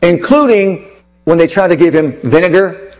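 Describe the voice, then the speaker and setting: A man preaching a teaching sermon, emphatic and full of rhetorical questions, with sudden shifts between slow and rapid delivery. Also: sits high, animated, darkish tone, rough recording.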